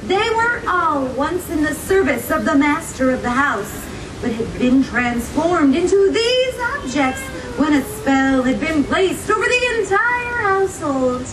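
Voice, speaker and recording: A woman performs as a gracious young princess telling a story to children at a live show. Her voice is very high and animated, theatrical and full of encouraging questions. The recording is distant and echoey, with crowd noise around her. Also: a little slow. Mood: animated storytelling. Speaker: theme park storytelling performer. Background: audience crowd noise.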